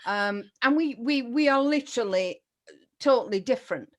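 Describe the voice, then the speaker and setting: A woman's voice: on the high side, expressive, normal speed. Chatty Yorkshire woman hosting an interview. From Yorkshire, England. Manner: chatty, warm-hearted and effusive, with frequent laughs. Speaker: interview host. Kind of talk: casual interview chat.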